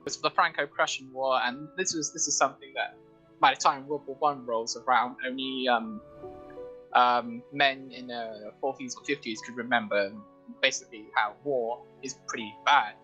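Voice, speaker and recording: A man giving casual, somewhat halting commentary over a game. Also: medium pitch, fairly even intonation, sharp tone, rough recording. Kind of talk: casual chat over gameplay. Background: music.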